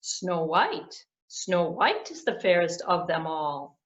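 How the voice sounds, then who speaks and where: A woman's voice: lowish voice, sing-song, slow, roomy sound. A woman doing a solemn magic mirror. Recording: dull lo-fi recording.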